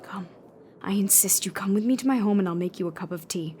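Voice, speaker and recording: An actress playing an odd, intense sculptor in a radio drama, speaking in an earnest, insistent, eager way. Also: female voice, medium pitch, quick pace, mellow, a little hiss or hum, natural voice. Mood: eager, fervent. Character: odd, intense female sculptor hungry for occult knowledge.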